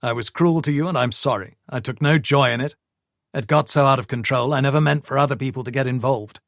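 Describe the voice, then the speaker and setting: A male narrator gives us a controlling man who talks low and steady, pressing his case with firm insistence. The voice stays close to the narrator's own, in a middle register, and the recording is dry and close. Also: a little fast, vocal fry, no room sound, natural voice. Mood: pleading. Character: controlling man, defensive and coldly persuasive. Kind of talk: audiobook dialogue.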